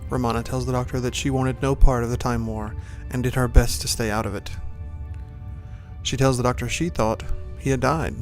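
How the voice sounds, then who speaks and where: Mid-pitched male narrator over music. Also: fairly even intonation, moderate pace. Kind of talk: scripted narration of an audio drama.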